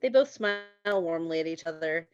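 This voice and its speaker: Female narrator for script directions, lower register, dry, slightly dull recording. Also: expressive, brisk, smooth, dry recording. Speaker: stage directions reader. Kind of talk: scripted narration at a screenplay table read.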